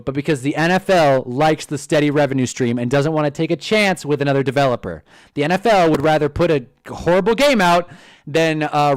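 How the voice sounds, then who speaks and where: A man in his twenties with a Californian accent, hosting a live stream and telling stories casually. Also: a little high. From Southern California. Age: 20s. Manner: animated, swearing, storytelling. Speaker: streamer host.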